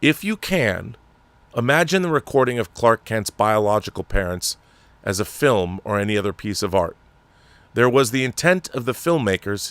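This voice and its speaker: A man's voice: on the low side, a bit bright, no echo. A man reading an opinionated essay aloud as a commentary host, with emphatic, rhetorical phrasing.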